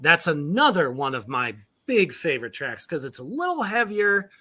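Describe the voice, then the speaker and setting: A man's voice: a little high, sing-song, normal speed, pushed and bright, husky. A man hosting a casual livestream chat with a band, loose and profane, laughing often. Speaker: podcast host.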